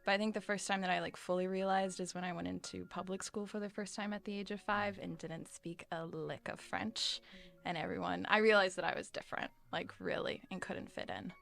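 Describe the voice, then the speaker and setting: Gravelly-voiced young woman guest. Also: fairly low pitch, fairly even intonation, a little fast, a bit bright, soft background music. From United States. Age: 20s. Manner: candid, filler-heavy. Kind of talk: casual interview answers.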